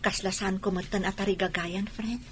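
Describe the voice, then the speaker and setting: A woman's voice: mid-range voice, steady tone, normal speed, a bit bright, slight background noise, close to an everyday voice. A woman playing a patient, wounded wife and mother in a radio drama, speaking earnestly. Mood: hurt, resigned.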